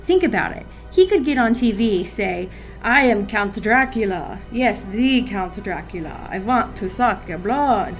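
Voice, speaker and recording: A woman's voice: medium pitch, expressive, unhurried, faint music behind. A woman playing a commanding, dry-witted agency boss in an audio drama, decisive and authoritative. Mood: thoughtful, assertive.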